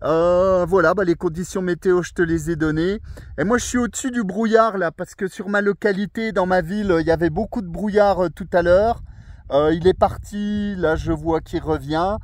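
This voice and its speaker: A man's voice: high-pitched, steady tone, moderate pace. A man with an accent from France, talking as an amateur radio operator in a friendly, courteous, casual way. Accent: French. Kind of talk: casual radio contact chat. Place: outdoors on a small mountain summit.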